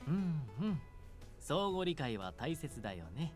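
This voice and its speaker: A man's voice: a little high, sing-song, a little fast, faint music behind. An actor playing a friendly, teasing young man who talks lightly and casually in a visual novel. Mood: agreeable, coaxing. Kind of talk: Japanese visual novel voice acting.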